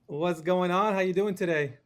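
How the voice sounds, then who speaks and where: A man's voice: high voice, normal speed. A man hosting an interview podcast, greeting and questioning his guest in a friendly, welcoming way. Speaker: podcast host. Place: Georgia, US.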